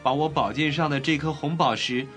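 A man playing a gentle, sorrowful prince statue, speaking earnestly and mournfully in a children's audio storybook. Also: fairly high pitch, a little fast, soft background music, natural voice. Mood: gently pleading.

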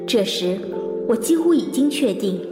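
An actress playing a composed, probing woman investigator in an audio drama, measured and introspective as she recalls a fateful case. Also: on the high side, lively intonation, close to an everyday voice. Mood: reflective. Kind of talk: audio drama narration and dialogue. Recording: echoey long reverb, music behind.

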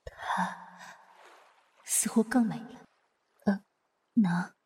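Young female Taoist played by a woman for wuxia radio drama: bashful, formal, hesitant, mid-pitched, long reverb. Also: very slow, dark tone. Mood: shy, dazed. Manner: flustered, hesitant, formal archaic speech. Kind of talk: Chinese wuxia audio drama acting. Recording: long reverb, music behind. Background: music.

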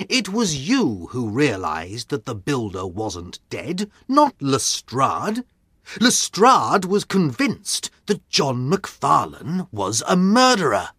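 A man voicing an excitable, indignant doctor friend in an audiobook, pitched well above his own voice. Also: slow pace, breathy edge, dry recording, exaggerated voice. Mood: cross. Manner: agitated, raised and indignant. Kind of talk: audiobook character reading. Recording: dry close studio sound.

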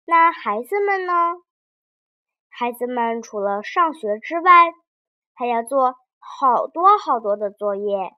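Woman reading a kids fairy tale, high voice, takes her time, very expressive, dry studio-like sound. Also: slow pace, dry recording, highs cut off. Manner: animated, addresses young listeners with questions. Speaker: children's storyteller.

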